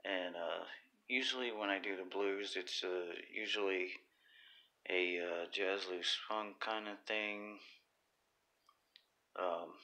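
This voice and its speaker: Slow, gravelly-voiced man rambling casually. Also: sits low, steady tone, sharp tone. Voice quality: raspy. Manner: halting, many fillers and restarts. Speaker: YouTuber. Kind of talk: casual livestream talk.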